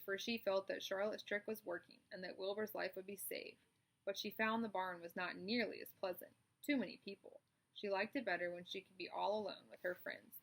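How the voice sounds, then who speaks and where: A woman reads a children's story aloud as its narrator. Her voice sits at an average pitch for a woman, telling the tale in storybook style. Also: creaky, fairly bright tone, poor audio. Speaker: storybook reader.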